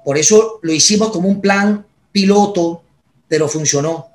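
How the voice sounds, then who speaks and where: A man's voice: high-pitched, normal speed. A man with a Venezuelan accent explaining things conversationally in an interview, posing questions and answering them himself. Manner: explanatory, uses rhetorical questions. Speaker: interview guest, consulting firm executive.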